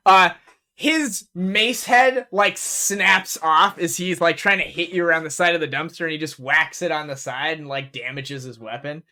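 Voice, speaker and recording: Game master, man, bright animated voice, loose chatty narration. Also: on the high side, moderate pace. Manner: animated, laughs often, many fillers.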